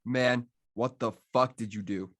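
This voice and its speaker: A man's voice: mid-range voice, steady tone, unhurried, a bit bright, lightly acted. A man playing a cocky, suave schemer in a scripted table-read drama, talking informally. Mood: angry.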